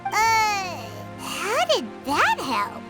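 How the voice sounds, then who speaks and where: A woman's voice: extremely high pitch, huge pitch swings, drawn-out words, over music, heavily acted. A woman voicing a tiny, childlike fairy companion in a video game, cheerful, boastful and exclaiming. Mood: puzzled. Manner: refers to self in third person, exclaiming.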